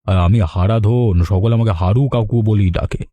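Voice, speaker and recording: Man as jolly foodie uncle from the city, cartoon, lowish warm dark voice, leisurely. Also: moderate pace, dry recording, close to an everyday voice. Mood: genial. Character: genial food-loving rich uncle visiting from the city. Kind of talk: Bengali cartoon dialogue.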